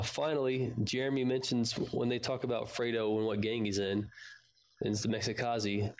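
A man co-hosting a fan podcast, talking casually about a show. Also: mid-range voice. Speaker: podcast co-host.

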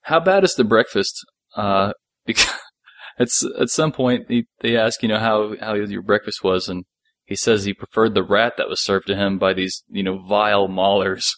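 A man co-hosting a fan podcast, recapping an episode in casual, loose and halting talk. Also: lowish voice, steady tone, fairly bright tone.

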